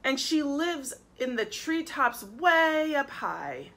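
A woman's voice: high-pitched, sing-song, slow, a bit bright, exaggerated voice. A woman voicing a small, upset monkey child from a children's storybook, plaintive and insistent as it protests. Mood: protesting, insistent. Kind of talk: children's storybook read-aloud.

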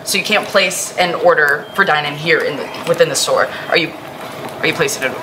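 A woman's voice: sits low, moderate pace, background noise, close to an everyday voice. A woman playing an anxious, apologetic fifteen-year-old shift manager in a comedy sketch, officious but earnest.

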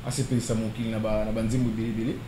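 A man's voice: on the low side, level tone, very fast. A young man in his studio interview, speaking in a casual, hesitant way with many fillers, switching between two languages. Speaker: interview guest, singer. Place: studio set with wooden walls, indoors. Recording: echoey room with clear background noise.

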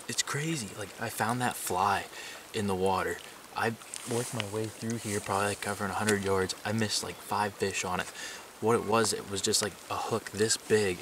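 A young man talking casually and excitedly about fishing, tired after a night without sleep, recorded outdoors at a lakeshore. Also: fairly low pitch. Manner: excited, rambling fishing talk. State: tired from staying up all night. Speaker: fishing vlogger. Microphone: camera on the shore at a distance. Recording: camera mic at a distance, outdoor water noise.

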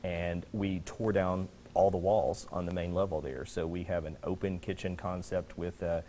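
A man in his forties, a kitchen designer, speaking as a guest on a TV studio set, relaxed and a bit hesitant, on a lapel mic. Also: low-pitched, moderate pace, a little hiss or hum. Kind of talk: TV interview.

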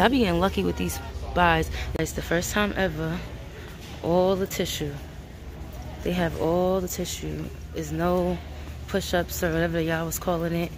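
A woman's voice: fairly low pitch, background noise. A woman from Brooklyn with a New York accent, chatting to viewers as she films a walk through supermarket aisles. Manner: chatty, repeats herself, addresses viewers as guys. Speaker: vlogger. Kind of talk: casual walkthrough shopping vlog. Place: large supermarket aisles, indoors.

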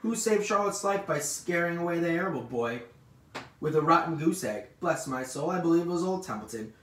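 A man's voice: on the high side, lively intonation, a little fast, a bit bright, pitched above the performer's own voice. Man doing a sarcastic grumbling rat, roomy sound. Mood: indignant boasting. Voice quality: growling. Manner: mocking, complaining, rhetorical. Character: grumbling self-pitying male rat, sarcastic and lazy. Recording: roomy, distant mic with faint noise.

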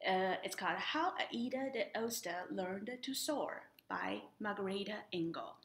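Young woman reading aloud, lively swooping pitch. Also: unhurried. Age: young adult. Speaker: library storytime reader. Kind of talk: children's picture book read-aloud with explanatory asides. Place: indoors in front of a painted woodland mural.

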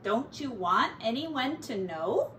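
A woman's voice: a little high, sing-song, slow, fairly bright tone, heavily acted. A woman voicing a small, polite, curious male pocket mouse from a picture book, hesitant and full of questions. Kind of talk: children's picture-book character voice. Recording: roomy, distant mic, faint noise.

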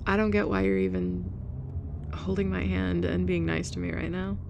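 A young woman in a scripted roleplay monologue, abrasive and sarcastic, with awkward soft laughs and sighs. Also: lowish voice, sing-song, moderate pace, vocal fry, lo-fi sound, noisy background. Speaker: ASMR roleplay performer.

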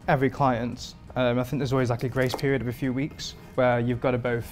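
A man in his thirties, a performance chef from England, answering interview questions in a relaxed, rambling way with many fillers, in a home kitchen. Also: medium pitch, a little fast, a little hiss or hum. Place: modern home kitchen indoors.

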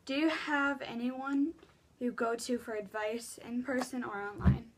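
A woman's voice: on the high side, steady tone, unhurried, background noise. A teenage girl chatting casually in her bedroom, answering viewer questions with lots of fillers and restarts. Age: teens. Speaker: vlogger. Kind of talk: casual Q and A vlog. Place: small bedroom, indoors. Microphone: laptop webcam mic.

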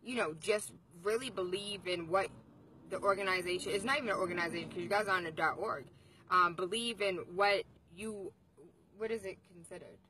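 A woman in her thirties interviewing a guest in her car, blunt and expressive, talking to a phone held at arm's length. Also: mid-range voice, fairly quick, a little hiss or hum, rough recording. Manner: blunt, expressive, talks straight to camera. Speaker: vlogger and interviewer. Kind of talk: casual, animated interview vlog. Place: driver's seat of a car.

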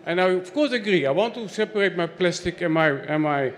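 Dutch guy in his 50s talking from the crowd on a handheld mic, kinda high voice, chatty and loose. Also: animated, fairly quick. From Netherlands. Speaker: audience member. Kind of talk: spontaneous remarks from the floor. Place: large indoor event hall.

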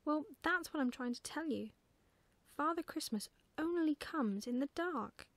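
A woman's voice: high voice, expressive, a little slow, no echo, voice pushed up. A woman voicing a proud, bossy little girl in a storybook, explaining things in an emphatic, matter-of-fact way.

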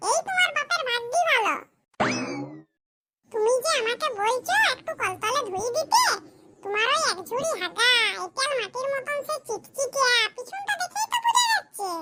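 A woman voicing a shrill scolding mother-in-law. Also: big pitch swings, moderate pace, no echo, heavily acted. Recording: loud background noise.

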